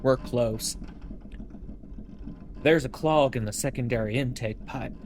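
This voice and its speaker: A man playing a steady, practical male ship engineer who speaks in a dry, matter-of-fact way. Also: medium pitch, unhurried, close to an everyday voice.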